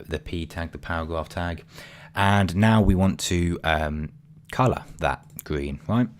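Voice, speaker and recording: A man, voice getting croaky, talking through a coding tutorial casually with many ums and apologies. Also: deep voice. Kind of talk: screen-recorded coding tutorial.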